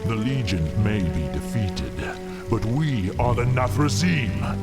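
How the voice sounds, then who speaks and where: Arrogant, swooping deep demon. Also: male voice, expressive, takes it slowly, over loud music. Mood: contemptuous. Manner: haughty, menacing. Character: arrogant male demon plotter, contemptuous of mortals.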